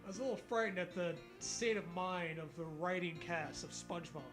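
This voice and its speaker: A man's voice: high-pitched, moderate pace, over music. A man co-hosting a comic read-aloud of fan fiction, breaking into sarcastic, sweary casual banter. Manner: sarcastic, profane riffing.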